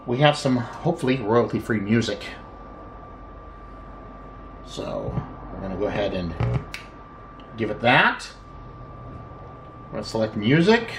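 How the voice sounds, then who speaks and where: A middle-aged man casually demonstrating electronics at a workbench, thinking aloud in an unhurried way as he works. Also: mid-range voice, very animated. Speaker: electronics repair hobbyist, host. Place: small indoor home workshop at a bench. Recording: roomy, distant mic with background noise.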